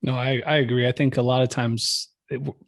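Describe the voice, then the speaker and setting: A man's voice: mid-range voice, flat delivery, a little fast, creaky, dry recording. Guy hosting a podcast, friendly, mid voice, super dry close mic. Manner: friendly, affirming, asks long lead-in questions. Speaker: podcast host. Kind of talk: casual interview.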